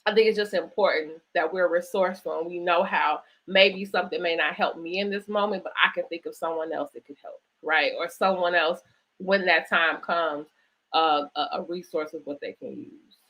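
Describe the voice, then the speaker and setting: A woman hosting a conversational interview, speaking reflectively in halting phrases and often checking in with "right?" or "you know". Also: lowish voice, steady tone, fairly bright tone. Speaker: host interviewing an author. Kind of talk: conversational interview at a virtual book event.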